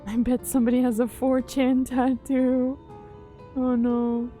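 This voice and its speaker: A woman's voice: sits high, flat delivery, a little slow, dark tone. A woman streaming a game, chatting casually and informally, musing aloud and often letting sentences trail off. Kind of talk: casual livestream chat while playing a game. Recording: game music behind the voice.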